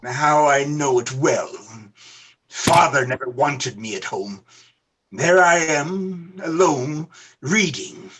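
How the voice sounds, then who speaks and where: Radio play lead: wistful old man, acted by a woman, low and husky, slow, swinging widely in pitch. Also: lively intonation, exaggerated voice. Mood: wistful, regretful. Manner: dramatic, exclaiming, wistful.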